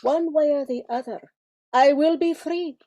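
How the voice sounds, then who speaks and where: A woman's voice: high-pitched, unhurried, warm tone. A woman playing a regal, proud captive goddess in an amateur radio play, imperious and formal. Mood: haughty, defiant.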